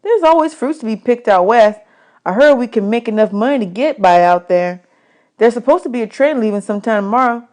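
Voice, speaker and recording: Woman voicing a cheeky runaway boy, high-pitched. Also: animated, fairly quick, darkish tone, natural voice. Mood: matter-of-fact. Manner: folksy, slangy way of talking. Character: eager, cheeky runaway boy, streetwise and boastful.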